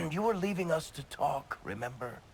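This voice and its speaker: An actor playing a middle-aged roguish dwarf in a video game, wry and offhand with a gravelly voice. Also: male voice, a little high, animated, moderate pace, slight background noise. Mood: playful. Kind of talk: video game dialogue acting.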